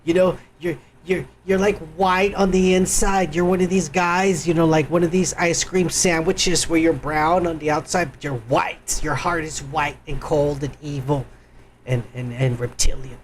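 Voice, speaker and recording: A man's voice: fairly high pitch, fairly even intonation, normal speed, a little hiss or hum. A man hosting a live stream, ranting crudely and angrily in profane political commentary.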